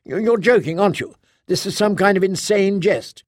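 A man voicing an impatient, irritable female official who talks in quick, incredulous bursts. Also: high voice, no echo, studio quality, voice pushed up, lightly acted. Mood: exasperated.

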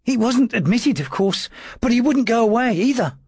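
A man voicing a timid, frightened male secretary who stammers in a high, strained voice. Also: animated, normal speed, breathy edge, no room sound, studio quality, exaggerated voice. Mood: nervous.

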